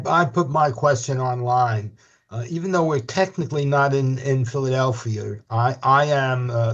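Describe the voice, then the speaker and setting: A man attending an online meeting, introducing himself conversationally before his question. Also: mid-range voice. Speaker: attendee, political committee founder. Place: virtual meeting on a video call. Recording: dull video-call audio.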